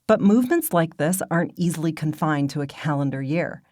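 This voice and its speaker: A woman hosting a podcast, giving scripted narration in a polished, measured delivery. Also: sits low, expressive, a little mellow, no room sound, clean studio sound. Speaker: podcast host.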